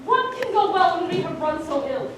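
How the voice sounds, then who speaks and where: A woman's voice: extremely high pitch, very animated, normal speed. An actress playing a defeated king who consoles a grieving lady, speaking Shakespearean verse. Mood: troubled. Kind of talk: live Shakespearean stage acting. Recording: distant stage recording in an echoey hall, with background noise.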